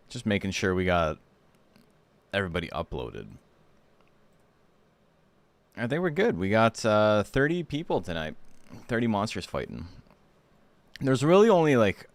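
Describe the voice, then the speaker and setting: A hoarse man hosting a livestream, chatting casually and rambling with lots of fillers. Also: sits low. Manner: rambling, relaxed, full of fillers. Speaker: streamer and tournament host.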